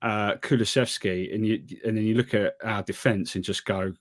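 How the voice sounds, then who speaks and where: A man's voice: lowish voice, steady tone, normal speed. A man co-hosting a football podcast, ranting with exasperation and stammering restarts. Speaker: podcast co-host. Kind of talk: casual football chat.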